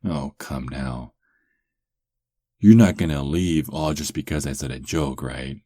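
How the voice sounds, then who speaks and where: A man playing a sarcastic, flirtatious captive werewolf in an ASMR roleplay, teasing with lingering pauses. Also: very deep voice, mellow, no echo, clean studio sound.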